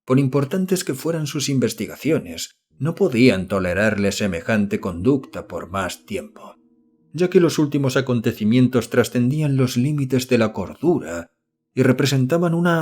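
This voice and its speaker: A man narrates a horror audiobook in a mid-pitched voice. He reads scripted literary prose as a storyteller, in the measured form of a novel read aloud. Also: a little mellow.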